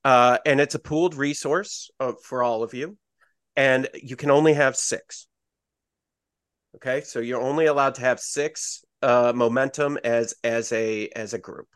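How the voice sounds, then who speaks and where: A man running a tabletop game, walking the players through the rules in a loose, rambling way that keeps correcting itself. His voice sits at an ordinary middle pitch. It is recorded close and dry, with no room sound around it. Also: a little slow, fairly bright tone, clean tone, no echo. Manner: loose, self-correcting explanation with many fillers. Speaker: game master. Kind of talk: explaining game rules on a podcast.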